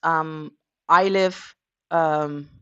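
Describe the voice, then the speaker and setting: A woman's voice: low-pitched, steady tone, very slow, clear, resonant tone, muffled recording. Danish woman, native Danish speaker, crochet livestream host, chatty, rambling, lots of ums. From Denmark. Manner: chatty, rambling, many fillers. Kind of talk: casual chat on a morning livestream.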